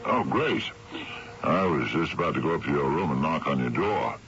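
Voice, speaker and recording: An actor playing an easygoing, amused uncle in a radio drama, with a gravelly voice and folksy manner. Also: male voice, extremely low pitch, lively intonation, moderate pace, a bit bright. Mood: cheerful. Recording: dull, noisy old radio broadcast.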